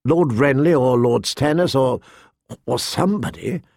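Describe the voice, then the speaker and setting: A man's voice: medium pitch, animated, measured pace, gravelly, darkish tone, no room sound, studio quality. A man voicing an earnest young noble girl in an audiobook, pleading eagerly and deferentially.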